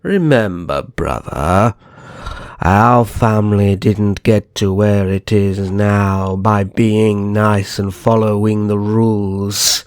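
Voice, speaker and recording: A man's voice: lowish voice, takes it slowly, a little mellow, clean tone, no echo, clean studio sound. A man playing a haughty, calculating vampire naga kidnapper in an ASMR roleplay, speaking in a formal, disdainful, theatrical way. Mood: calculating menace.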